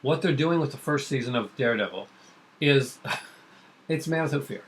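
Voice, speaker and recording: Man, medium pitch, earnest, emphatic, casual opinionated discussion, slight noise behind. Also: expressive, normal speed. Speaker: co-host of a comics and TV talk show.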